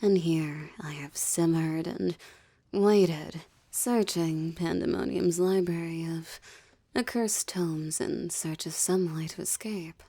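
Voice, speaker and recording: A seductive demoness, acted by a woman, gloats over a captive in an intimate roleplay drama. She speaks slowly in a dark, mellow voice with a gravelly creak. Her haughty, teasing lines often slip into breathy whispers. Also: lowish voice, steady tone.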